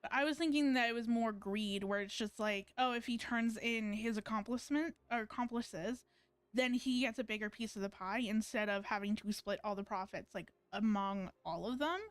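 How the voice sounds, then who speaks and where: High-pitched woman streamer chatting casually. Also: normal speed, clear, resonant tone, dry recording. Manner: rambling, informal. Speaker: game streamer. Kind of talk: casual live commentary on game.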